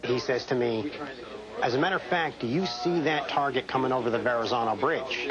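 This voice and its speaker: A man's voice: mid-range voice, a little fast, crowd noise. American man recounting, dull sound, busy background. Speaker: air traffic controller interviewee. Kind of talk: first-hand recollection in interview.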